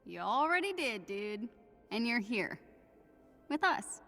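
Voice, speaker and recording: A woman's voice: a little high, sing-song, slow pace, pushed and bright, full character voice. A woman playing a blunt, upbeat teenage rookie superhero in a video game, casual, slangy and playful. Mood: frank. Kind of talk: naturalistic video game acting. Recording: long reverb, music underneath.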